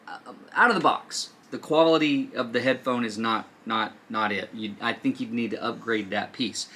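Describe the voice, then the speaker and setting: Bright-voiced man joking through a gadget demo. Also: medium pitch, a little hiss or hum. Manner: joking, teasing, informal. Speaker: host of a product review show. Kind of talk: casual unboxing and product demo. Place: studio.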